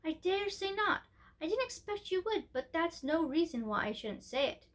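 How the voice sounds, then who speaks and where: A woman voicing a very old, gentle and mysterious great-great-grandmother queen in a storybook, speaking patiently. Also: very high-pitched, big pitch swings, normal speed, pitched above the performer's own voice. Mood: patient, kindly.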